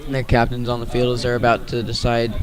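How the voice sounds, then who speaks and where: American man, radio sports commentator, even flat intonation, middle-pitched voice, measured play-by-play delivery. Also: heavy background noise.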